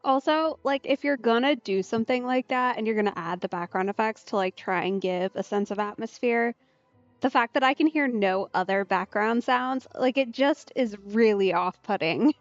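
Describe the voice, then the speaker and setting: A woman's voice: fairly high pitch, normal speed, dry recording, dull audio. A woman doing casual, chatty let's-play commentary as a streamer, musing aloud informally.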